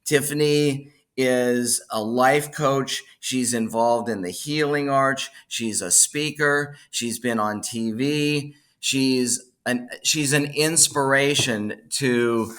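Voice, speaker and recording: Bright-voiced man hosting a halting podcast conversation. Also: mid-range voice. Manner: halting, repeats words and restarts phrases. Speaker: podcast host, bookstore owner. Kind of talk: unscripted podcast introduction and interview.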